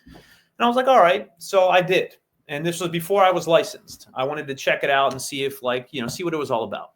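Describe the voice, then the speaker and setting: A man's voice: fairly high pitch, brisk, fairly bright tone. A man co-hosting a casual business podcast who explains things methodically, step by step. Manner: methodical, breaks goals down step by step. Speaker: podcast co-host.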